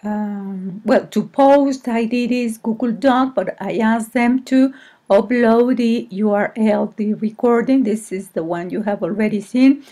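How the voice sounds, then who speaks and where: A woman teacher with a Mexican accent, presenting to colleagues in a hesitant, halting way and often correcting herself. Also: fairly high pitch, dark tone. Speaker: English teacher. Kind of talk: screen-recorded presentation to colleagues.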